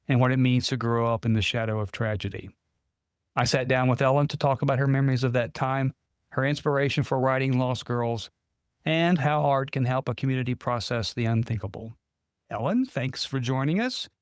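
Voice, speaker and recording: Man, podcast host, medium-pitched voice, scripted narration and interviewing, very dry sound. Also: no echo.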